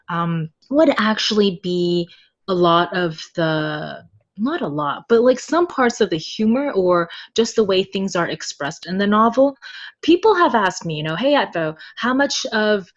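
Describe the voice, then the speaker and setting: A woman being interviewed about her translation work, animated and expressive, laughing often as she tells stories. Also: mid-range voice, a little slow. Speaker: guest translator. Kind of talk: casual interview chat. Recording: slightly dull lo-fi sound.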